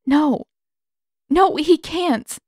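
A woman's voice: sits high, drawn-out words, darkish tone, dry recording, studio quality, voice pushed up. A woman voicing an earnest, anxious teenage girl who pleads with urgent emotion in a fantasy story. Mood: desperate denial.

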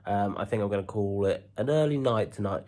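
A man's voice: on the low side, a little fast, darkish tone, dry recording. Bunged-up British man vlogging. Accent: British English. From United Kingdom. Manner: chatty, upbeat patter with slang. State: congested head cold, bunged up. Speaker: eBay reseller vlogger. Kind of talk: casual vlog to camera. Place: home storage room and parked car, indoors. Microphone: handheld camera at arm's length.

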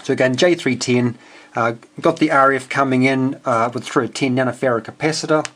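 A man's voice: medium pitch, a little fast, a bit bright. A man with a New Zealand accent giving a casual, unscripted technical walkthrough as a radio hobbyist, hesitant and speaking in short phrases. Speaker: amateur radio hobbyist presenting his homebuilt receiver. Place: indoors at a home workbench. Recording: clearly noisy home video with clicks.

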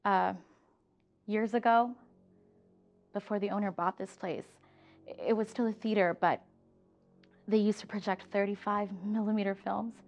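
An actress playing a young female theater coworker who tells a ghost story in a hushed, conspiratorial way, acting in a film drama. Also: medium pitch, fairly even intonation, moderate pace, long pauses, muffled recording, soft background music, close to an everyday voice.

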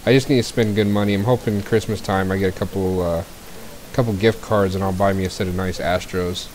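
Low-voiced man streaming a game with a cold. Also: steady tone, fairly quick, a little mellow, a little hiss or hum, rough recording. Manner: rambling, easygoing, with fillers and clearing his throat. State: getting over a cold, a bit scratchy. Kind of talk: casual gameplay commentary. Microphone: cheap desk mic.

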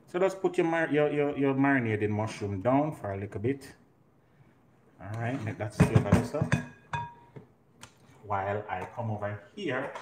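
A middle-aged man with a Jamaican accent, casually and playfully hosting a live home cooking demonstration. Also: mid-range voice. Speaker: home cook and show host. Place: indoor home kitchen. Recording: roomy kitchen, clear noise, pot clatter.

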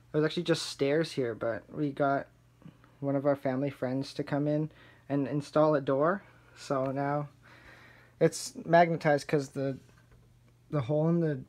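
A man's voice: fairly high pitch, a little mellow. A young man giving a casual, understated home tour as a gaming vlogger, recorded indoors on a handheld camera. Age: young adult. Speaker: gaming YouTuber. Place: small furnished basement rooms indoors. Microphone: handheld camera's built-in mic.

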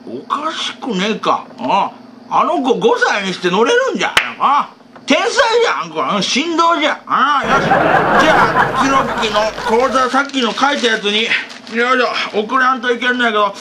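A man's voice: very high-pitched, sing-song, normal speed, crowd noise, noisy background. A man doing a reedy, forgetful old grandpa. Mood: rambling. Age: older. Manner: mumbling, talks to himself, chuckles at his own jokes. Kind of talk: solo comedy sketch.

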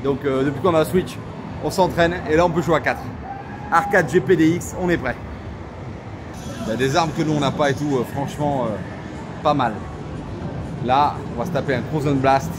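A man's voice: on the high side, moderate pace, long pauses, background noise. A man vlogging casually and enthusiastically, using informal slang. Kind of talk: casual chat to camera. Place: large indoor arcade. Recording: loud arcade music and machine din behind.

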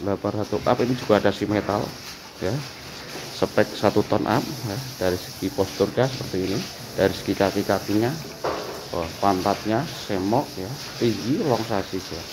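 A man's voice: fairly low pitch, normal speed. Vlogger, man, casual tour host who repeats every answer, faint background hiss. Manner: chatty, asks short questions and repeats answers back. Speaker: vlogger touring a cattle farm. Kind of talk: casual walk-through vlog with interview. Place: outdoor open-sided cattle barn.

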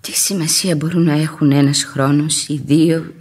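A woman's voice: low-pitched, fairly even intonation, a little mellow, breathy edge. An actress playing a devoted, romantic young unmarried woman in a radio play, earnest and lyrical. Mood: wistful, steadfast. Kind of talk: radio theatre acting in Greek.

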